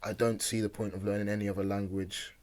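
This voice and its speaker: A young man co-hosting a podcast in casual chat, easygoing and hesitant, on a boom-arm mic in a small home room. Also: little pitch movement, fast, a little mellow. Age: young adult. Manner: easygoing, agreeable, hesitant with many ums. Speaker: podcast co-host. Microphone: studio mic on boom arm.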